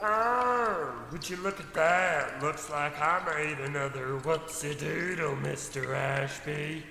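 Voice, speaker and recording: Slow, gravelly, bright-toned cartoon beast, aw-shucks manner; echoey hall. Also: male voice, fairly high pitch, a little hiss or hum, full character voice. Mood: folksy, innocent. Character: folksy cartoon bear-cow-ape creature come to life. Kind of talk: comedy radio play acting.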